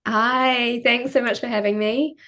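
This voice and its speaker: A woman's voice: a little high, a little slow. Modest young New woman. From New Zealand. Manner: relaxed, modest, frequent ums and likes. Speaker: podcast guest, runner. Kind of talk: casual interview chat.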